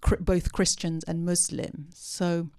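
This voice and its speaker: A woman with a low, gravelly voice talks through a post-film question. She pauses often and restarts her sentences as she thinks aloud. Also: little pitch movement, darkish tone. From part Syrian. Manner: hesitant, many ums and restarts. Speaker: panelist at a post-film discussion. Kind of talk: unscripted talk answering audience questions.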